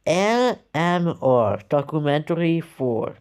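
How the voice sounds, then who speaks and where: A man giving informal, halting reaction commentary, with casual swearing. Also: on the high side, sing-song, darkish tone, clear, resonant tone.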